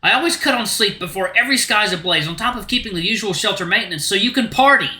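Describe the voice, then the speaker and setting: A man's voice: high voice, expressive, a little fast, bright, forceful tone, reverberant, exaggerated voice. A man voicing a bitter, exhausted young human man who talks in a raised, resentful way.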